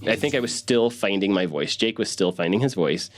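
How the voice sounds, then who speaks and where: A man talking casually in an interview as a voice actor, halting and repeating words as he explains. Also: fairly low pitch, animated, faint music behind.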